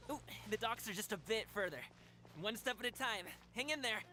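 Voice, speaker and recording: A woman's voice: a little high, expressive, fairly quick, bright, forceful tone, a little hiss or hum. A woman playing an easygoing, confident young guard in a video game. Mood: protective.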